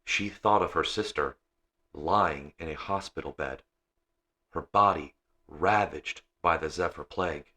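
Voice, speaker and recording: Slow, very deep-voiced man reading a story. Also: sharp tone. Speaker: audiobook reader. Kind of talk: bedtime story narration of science fiction.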